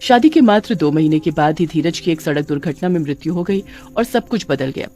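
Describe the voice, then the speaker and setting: A woman's voice: low voice, animated, a little fast, mellow. A woman narrator reading a scripted cartoon story.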